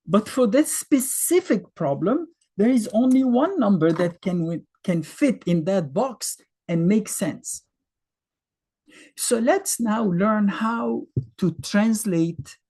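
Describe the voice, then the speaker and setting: A man in his fifties with an Egyptian accent, native Arabic, explains math in a relaxed, chatty way with lots of rhetorical questions. His voice is husky and mellow, and his pitch rises and falls a lot as he talks. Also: slow. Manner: conversational, thinks aloud with fillers and rhetorical questions. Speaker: math teacher. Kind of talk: casual explanatory lesson.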